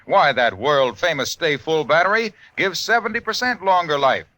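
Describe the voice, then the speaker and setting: A man delivering a polished sales pitch as a commercial announcer on vintage radio. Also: lively intonation, normal speed, sharp tone, no room sound. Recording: dry vintage radio recording.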